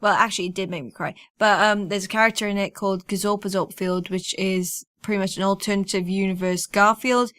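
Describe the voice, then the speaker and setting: A woman's voice: mid-range voice, fairly even intonation, a little fast, few pauses, fairly bright tone, no echo, clean studio sound. A woman hosting a podcast in casual chat, with an informal, wry manner. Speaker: podcast host.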